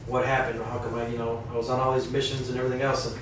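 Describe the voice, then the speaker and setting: A man's voice: medium pitch, flat delivery, rapid-fire. A man with a Wisconsin accent, an Army veteran, giving a plainspoken, self-deprecating and reflective introduction as a guest on a podcast. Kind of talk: casual personal introduction. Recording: echoey room, mic at a distance, noticeable background noise.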